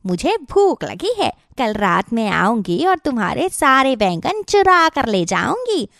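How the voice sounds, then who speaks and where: A woman's voice: a little high, sing-song, measured pace, gravelly, fairly bright tone, no room sound, studio quality, heavily acted. A woman voicing a sly, boastful female fox in a children's cartoon, taunting and theatrical. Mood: smug, taunting. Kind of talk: Hindi children's cartoon voice acting.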